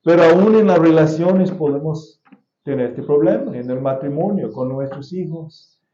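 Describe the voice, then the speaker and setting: Pastor preaching in Spanish, echoey church. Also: male voice, a little high, warm tone. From United States. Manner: deliberate preaching with repeated key phrases and occasional restarts. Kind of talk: sermon. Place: church sanctuary. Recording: echoey church sound, slightly dull.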